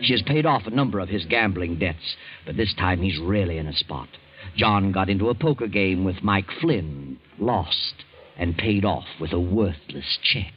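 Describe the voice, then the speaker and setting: Ominous deep-voiced radio mystery narrator. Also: male voice, lively intonation. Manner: deliberate, dramatic storytelling. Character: the Whistler, ominous all-knowing mysterious male narrator. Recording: dull 1940s radio recording, loud music.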